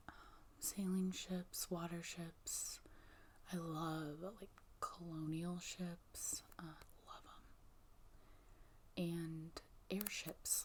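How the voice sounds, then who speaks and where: Soft whispering woman, breathy, low-pitched, unhurried ASMR delivery, close mic, light background noise. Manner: soft-spoken, gentle, unhurried. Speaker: host of a soft-spoken ASMR video. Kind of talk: ASMR, casual show and tell.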